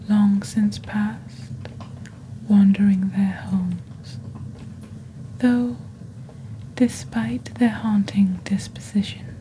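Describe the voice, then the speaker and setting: Woman acting as eerie witch selling cursed stuff, super slow soft asmr whisper, mellow, bit of background noise. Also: mid-range voice, lightly acted, low-quality recording. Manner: slow, deliberate, hushed storytelling delivery. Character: female witch, eerie, composed seller of cursed wares. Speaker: ASMR host. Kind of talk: soft-spoken whispered ASMR, scripted shopping-channel roleplay. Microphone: close studio microphone on a desk.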